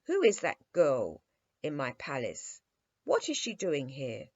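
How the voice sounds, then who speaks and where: A woman's voice: low-pitched, huge pitch swings, slow, a little mellow, husky, no echo, muffled recording, exaggerated voice. A woman voicing a dignified, commanding small boy who plays at being king, in a children's storybook reading. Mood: imperious. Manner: grand, commanding. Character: dignified, imperious little boy prince playing king.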